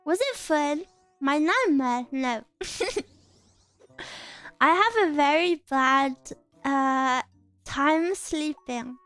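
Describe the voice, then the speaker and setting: High-pitched girl streamer, talks slow, giggly, soft music in the background. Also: lively intonation, dry recording. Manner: self-conscious, giggly, trips on R sounds. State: throat scratchy, clearing it. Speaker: VTuber streamer. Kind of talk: casual stream chat, reading chat aloud.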